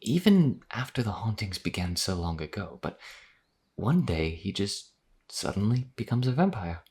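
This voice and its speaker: Man acting a deep, husky reluctant vampire. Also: big pitch swings, normal speed, darkish tone, heavily acted. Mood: explanatory. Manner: hesitant, earnest, conversational storytelling. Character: reluctant male vampire wanderer, modest, earnest, no fighter. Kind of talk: fantasy audio roleplay acting.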